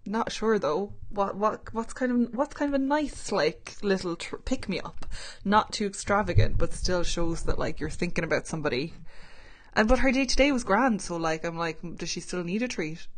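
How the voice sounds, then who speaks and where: A woman's voice: medium pitch, animated, moderate pace. A woman hosting a podcast, chatting in a rambling, self-mocking way over a video call. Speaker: podcast host. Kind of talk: casual chat. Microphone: own mic over a video call.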